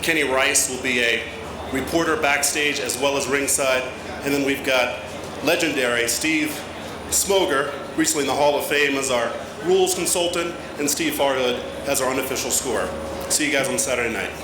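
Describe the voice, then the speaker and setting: A middle-aged man, a TV sports producer, speaking at a podium with frequent hesitations. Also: medium pitch. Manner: halting, with many uh fillers. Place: large arena press conference stage. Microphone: podium microphone. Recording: echoey hall with noise.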